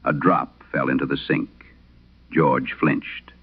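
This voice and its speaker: Deep-voiced old priest played by an actor, grave and haunted. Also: male voice, level tone, breathy edge, phone-quality sound, exaggerated voice. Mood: haunted, solemn. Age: older. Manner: solemn, measured storytelling. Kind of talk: dramatic scripted horror radio monologue.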